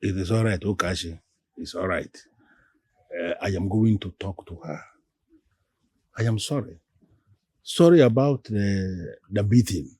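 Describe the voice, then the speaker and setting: A man acting a calm, apologetic peacemaker in a film drama. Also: low-pitched, unhurried, long pauses, husky, no room sound, studio quality. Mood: apologetic, soothing.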